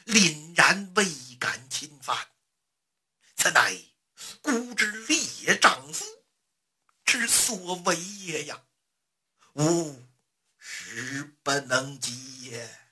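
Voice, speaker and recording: A storyteller acting out a raspy, slow, lamenting king. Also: medium pitch, animated, bright, forceful tone, pitched below the performer's own voice, heavily acted. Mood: solemn grief. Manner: archaic, stately, drawn-out, lamenting. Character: grandiose grieving king, adult man, thunderous then mournful.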